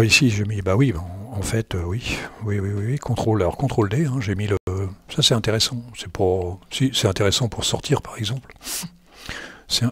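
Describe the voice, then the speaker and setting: Man, husky voice, dry close sound, rambling slangy tech commentary, self-mocking. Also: on the low side, a little mellow, no room sound. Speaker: tech blogger. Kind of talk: casual screen-recording commentary.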